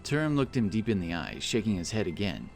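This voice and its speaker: A man's voice: fairly low pitch, big pitch swings, a little fast. A man narrating a scripted fantasy story as an audiobook reader. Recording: clear music bed behind the voice. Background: background music.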